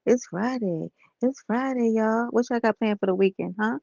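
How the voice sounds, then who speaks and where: A woman, slightly hoarse, talking softly and casually to viewers on a live stream from bed. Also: on the high side, moderate pace, no echo, muffled recording. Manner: soft-spoken, talks straight to viewers as y'all and honey.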